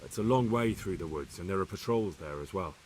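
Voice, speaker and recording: An actor playing a deferential, earnest young soldier in a video game, reporting carefully and plainly. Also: male voice, deep voice, expressive, brisk, a little hiss or hum. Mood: careful, matter-of-fact. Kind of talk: video game cutscene acting.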